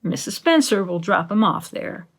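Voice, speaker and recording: Woman playing a measured, gravelly, practical farm woman. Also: mid-range voice, sing-song, fairly bright tone. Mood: matter-of-fact. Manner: measured, matter-of-fact. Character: stern, practical, resigned middle-aged farm woman.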